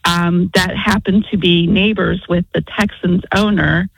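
A woman's voice: fairly low pitch, fairly even intonation, unhurried, gravelly, breathy edge, no room sound, low-quality recording. A woman on a radio show who talks in a hedging way, often qualifying what she says. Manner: hedging, lots of "from my understanding". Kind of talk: radio talk chat.

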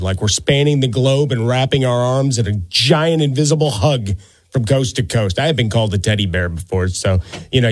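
A man's voice: mid-range voice, no echo. Chatty man hosting late-night sports radio. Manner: chatty, rambling, playful. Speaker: sports radio talk host. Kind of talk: casual late-night sports talk monologue. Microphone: close studio microphone. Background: music.

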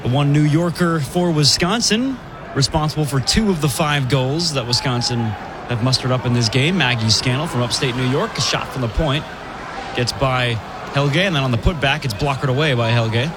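A man calling live women's college hockey play-by-play, brisk and chatty, laughing easily between plays. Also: medium pitch, noisy background. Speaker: play-by-play commentator.

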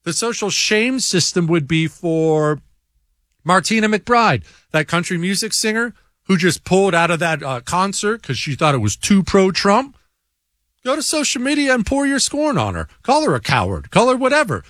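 A man with a fairly high voice hosts a talk show and answers listener mail in a blunt, sarcastic way. His pitch swings widely as he hammers his points home. The sound is dry and close. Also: very animated, normal speed, fairly bright tone, no room sound. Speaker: talk show host reading listener emails. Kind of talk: opinionated monologue.

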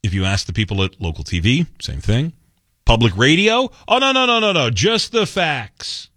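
Male radio talk host, sarcastic monologue, lively swooping pitch, dry close studio sound. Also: moderate pace, dry recording. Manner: sarcastic, mocking quotes. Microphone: studio mic.

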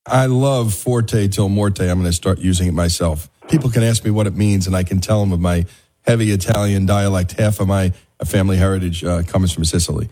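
A man's voice: low-pitched, fairly even intonation, fairly quick, dark tone. A man hosting a conversational interview, putting questions to a guest and responding to the answers. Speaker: interview host.

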